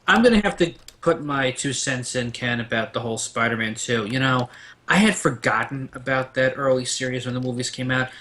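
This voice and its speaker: A man's voice: medium pitch, brisk, pushed and bright, slight background noise. A man on a discussion panel, recounting things casually and enthusiastically.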